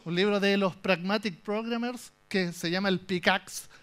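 A man's voice: high voice, moderate pace, clear, resonant tone. A young man with a Chilean accent giving a humorous tech talk on a conference stage, telling the story with jokes and asides. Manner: animated, joking storyteller. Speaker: conference speaker.

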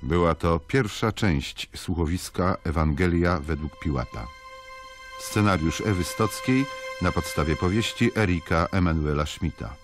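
A man's voice: low-pitched, unhurried. A man reading a scripted credits announcement as a radio announcer. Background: music.

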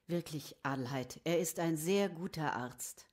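An actress playing a caring, concerned lady of the house in a radio drama, speaking gently and politely in a coaxing way. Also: deep voice, a little slow. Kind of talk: German radio drama acting.

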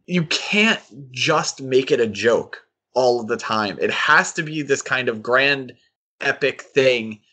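A man's voice: mid-range voice, slow pace, sharp tone, husky. A man co-hosting a podcast, giving critical opinions in a casual discussion of comics. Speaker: podcast co-host.

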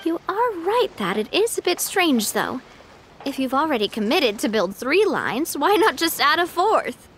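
A woman's voice: high-pitched, very animated, a little slow, fairly bright tone, slight background noise, heavily acted. A woman voicing a confident, elegant young lady boss in an anime video game, speaking in a breezy, polished, friendly way. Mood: explanatory.

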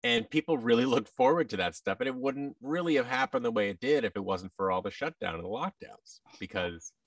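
A man's voice: medium pitch, animated, fairly quick, gravelly, dry recording, distant mic. An American man in his thirties chatting casually as an interview guest, with a wry, self-deprecating manner. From United States. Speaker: guest, voice actor and audio drama producer. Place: large indoor studio room. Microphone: boom-arm microphone with headset, video call.

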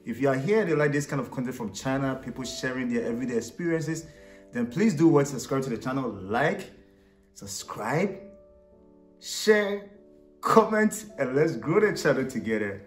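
A man's voice: medium pitch, lively intonation, moderate pace, roomy sound, faint music behind. A man hosting a street interview channel, upbeat and repeating his calls to like and subscribe. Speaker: YouTube host and street interviewer. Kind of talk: casual vlog intro and street interview questions. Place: small room indoors for intro.

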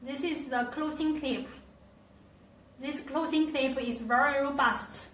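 A woman presenting a scripted product demonstration, with careful, halting, non-native phrasing. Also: high voice, fairly even intonation, a bit bright. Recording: roomy echo, distant mic, faint noise.

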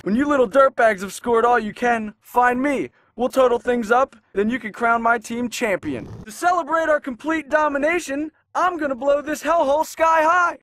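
An actor playing a cocky, taunting young skateboard team captain with an American accent, brash and slangy, in a video game. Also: male voice, very high voice, normal speed, few pauses, fairly bright tone, no echo. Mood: gloating. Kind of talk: video game cutscene acting.

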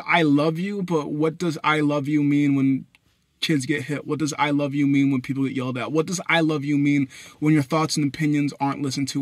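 A young man from Haiti, talking into his phone in a parked car at night, blunt and profane, swinging between loud bursts and low mutters. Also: no room sound. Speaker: vlogger. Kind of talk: rambling personal monologue. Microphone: phone camera at arm's length.

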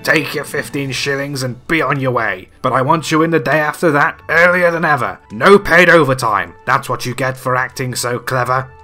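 A grumpy old miser of a loan shark , played by a male narrator, snaps and grumbles in a bright, forceful voice. It is a storybook villain, loud and scornful, with music behind. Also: fairly low pitch, steady tone, normal speed, few pauses. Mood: snapping. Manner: snappy, grumbling, shouting when roused.

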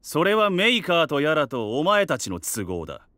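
A man's voice: on the high side, wildly swooping pitch, normal speed, bright, forceful tone, no echo, clean studio sound, exaggerated voice. An actor voicing an arrogant, indifferent young fantasy king with a haughty, dismissive manner. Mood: cold disdain.

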